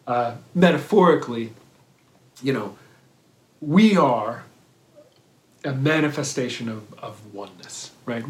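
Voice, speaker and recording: A man giving a thoughtful dharma talk on mindfulness, pausing and restarting often and checking in with "right?". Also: mid-range voice. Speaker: meditation teacher. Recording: roomy echo.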